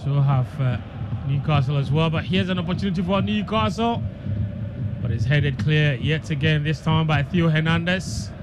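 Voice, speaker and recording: A man's voice: on the high side, moderate pace. A man giving live football commentary on the radio. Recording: clear background noise, stadium ambience.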